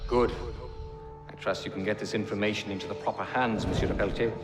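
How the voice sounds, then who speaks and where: A commanding, scheming grandmaster from a video game voiced by a male actor. His lowish voice echoes in a roomy space beneath loud music. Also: fairly even intonation, fast talker, natural voice. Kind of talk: video game cutscene acting.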